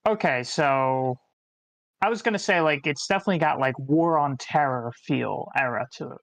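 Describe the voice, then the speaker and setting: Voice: medium pitch, normal speed, dry recording. Dry, close sound. Informal discussion participant with a mid-range voice, musing aloud in a loose way. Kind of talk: casual chat.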